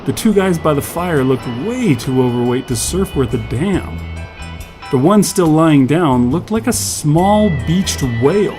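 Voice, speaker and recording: A man's voice: on the high side, lively intonation, measured pace, a little mellow, faint music behind. A man narrating scripted fiction as a storyteller.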